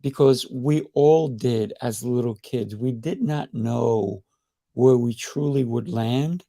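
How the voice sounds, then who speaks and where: A man's voice: medium pitch, takes it slowly, creaky, dark tone. A man hosting a podcast interview over a video call, eager and chatty, often checking in with "right?". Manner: enthusiastic, repeats himself, frequent "right?". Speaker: podcast host. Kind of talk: casual interview.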